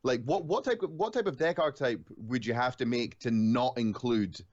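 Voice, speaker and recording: A man speaking as a guest on a gaming podcast over a remote call, loud and stammering, often repeating his words as he thinks aloud. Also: mid-range voice, normal speed, lo-fi sound. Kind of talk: casual gaming chat.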